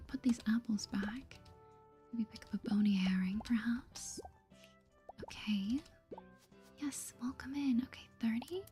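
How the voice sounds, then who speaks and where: A woman speaks in a soft, mellow whisper, slightly high in pitch, in an ASMR gaming style. Her manner is gentle and politely chirpy, like a friendly shopkeeper chatting with customers. Soft music plays behind her. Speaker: gaming video host. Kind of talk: soft-spoken whispered ASMR game playthrough.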